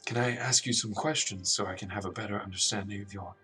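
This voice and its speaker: An earnest, awkward doctor played by a man in a radio drama speaks in a low voice, a little away from the mic. He is hesitant and reflective, gently apologetic, with music behind him. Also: steady tone, quick pace. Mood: gentle, awkward. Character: earnest, awkward male doctor gently questioning a withdrawn patient. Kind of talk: radio drama acting with inner monologue. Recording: distant mic, music underneath.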